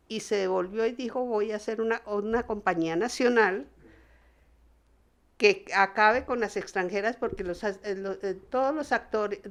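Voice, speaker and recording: Woman recalling history, haltingly. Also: medium pitch. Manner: halting, repeats words and restarts often. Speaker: interviewee. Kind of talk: conversational recollection of theatre history.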